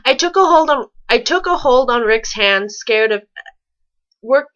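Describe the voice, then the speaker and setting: A woman's voice: fairly high pitch, animated, measured pace, a bit bright, highs cut off. A woman reading fan fiction aloud with sarcastic, incredulous casual commentary, stumbling over the text now and then.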